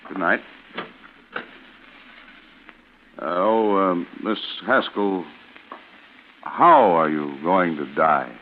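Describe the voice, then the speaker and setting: An actor playing a polite, probing small-town official with a hesitant, matter-of-fact way of questioning, in an old-time radio suspense drama. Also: male voice, sits low, takes it slowly. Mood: apologetic, polite. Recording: noisy, tinny vintage radio broadcast.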